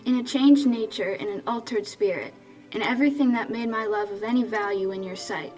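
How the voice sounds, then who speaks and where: A gentle, sorrowful young woman played by a woman in an old-time radio drama. The delivery is earnest and measured, a little distant, over faint music. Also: a little high, natural voice. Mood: sorrowful, resigned.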